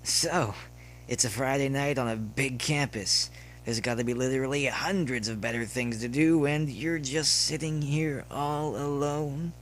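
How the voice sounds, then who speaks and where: A man's voice: mid-range voice, steady tone, measured pace, fairly bright tone, a little hiss or hum, pitched above the performer's own voice, lightly acted. A man voicing a friendly, upbeat young male student pony in a story reading, casual and inquisitive. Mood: casual, prodding. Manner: casual, inquisitive, slightly hesitant.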